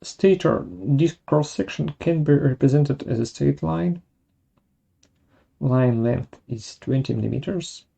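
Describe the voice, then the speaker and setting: A software webinar presenter narrating a tutorial step by step in a measured way, halting between steps. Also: mid-range voice, creaky, slightly rough.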